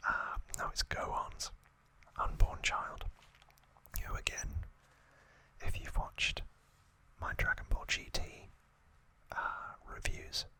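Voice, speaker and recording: Slow, whispering male ASMR host. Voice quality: breathy unvoiced whisper. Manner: slow, hushed, short pausing phrases. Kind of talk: whispered ASMR episode recap.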